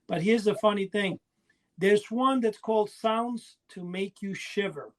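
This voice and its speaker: High voiced guy hosting a podcast, rambly and enthusiastic, just chatting. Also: measured pace. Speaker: podcast host. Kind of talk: casual chat.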